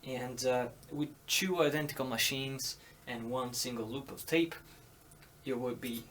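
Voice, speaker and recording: Male hobbyist presenter for an informal how-to, mid-pitched, halting, thinking out loud. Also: slight background noise. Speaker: audio hobbyist demonstrating tape machines. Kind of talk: casual hands-on tutorial.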